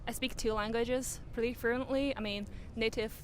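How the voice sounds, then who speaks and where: A woman's voice: on the high side, steady tone, normal speed, slight background noise. A young woman from China whose first language is Mandarin, a student introducing herself with hesitant fillers. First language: Mandarin Chinese. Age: young adult. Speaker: student panelist.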